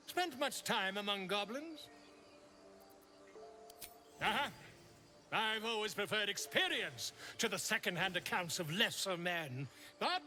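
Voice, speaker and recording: An actor playing a flamboyant, curious bard in a video game, speaking in a theatrical, verbose and pompous way. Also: male voice, high voice, pushed and bright, heavily acted. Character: flamboyant, curious male bard and self-styled scholar. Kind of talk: video game character acting.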